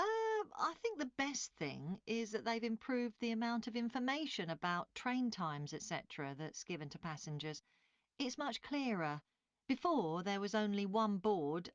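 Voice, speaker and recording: A woman's voice: medium pitch, sing-song, normal speed, dry recording, highs cut off, lightly acted. A woman playing a polite train passenger answering a survey in a scripted dialogue. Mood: mildly pleased. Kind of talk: scripted listening-test dialogue.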